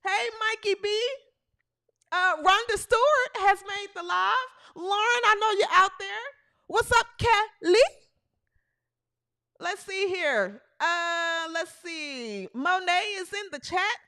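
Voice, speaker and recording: Female host, 30s, bright and sharp tone, playful live-stream energy with shouted bursts. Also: very high-pitched, animated, takes it slowly. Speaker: podcast host. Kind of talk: live-stream sports talk chat.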